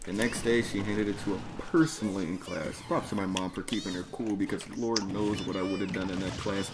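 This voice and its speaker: A man's voice: low-pitched, steady tone, fairly quick. A man telling a personal story in an emphatic, exasperated way, with plenty of swearing and slang. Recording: clearly noisy background.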